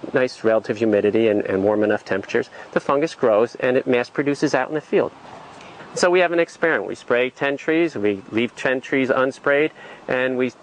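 A man working as a researcher, explaining his experiments in an unhurried way with filler pauses. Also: mid-range voice, slight background noise, poor audio.

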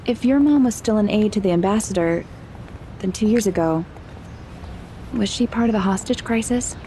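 A woman's voice: medium pitch, normal speed, warm tone, slight background noise, close to an everyday voice. A woman playing an anxious, curious teenage girl in an audio drama, conversational and questioning. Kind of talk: naturalistic audio drama acting.

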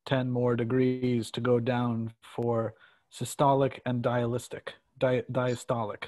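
A man playing a nagging smart-home health monitor, announcing readings in a measured clinical way, in a play reading. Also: mid-range voice, level tone, a little mellow, no echo, lightly acted.

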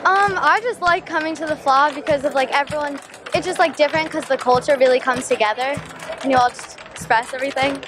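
A woman's voice: high-pitched, fast talker, noisy background. A young woman from the United States, a dancer, talking chattily into a street reporter's mic at a festival. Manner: chatty, lots of "like". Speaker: dancer interviewee. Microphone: handheld reporter mic.

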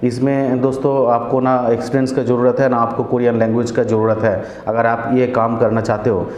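A man in his forties, a channel host, explaining job openings to camera in a steady, repetitive way, with many fillers and restarts, on a lapel mic in a small office. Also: medium pitch, a little fast. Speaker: visa agent and channel host. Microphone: clip-on lapel mic. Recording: echoey office with faint room noise.

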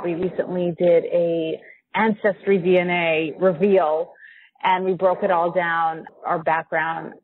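An American woman in her forties chatting casually as a guest in a podcast interview. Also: fairly low pitch. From United States. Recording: thin, phone-like line.

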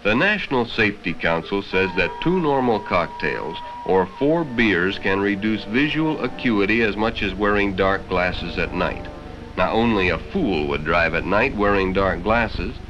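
A man's voice: sits low, animated, a little slow. An American man narrating a 1960s educational film from a script in a stern, lecturing, condescending manner. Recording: dull vintage film soundtrack with music.

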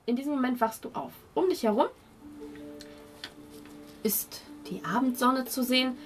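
A woman narrating a scene as game master, deliberately and with dramatic pauses. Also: sits high, soft background music. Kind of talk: atmospheric tabletop game narration.

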